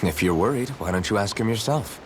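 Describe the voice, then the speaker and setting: A man playing a steady, considerate young adventurer in a video game, speaking plainly and earnestly. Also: on the low side, brisk, slight background noise, lightly acted. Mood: gentle, reassuring.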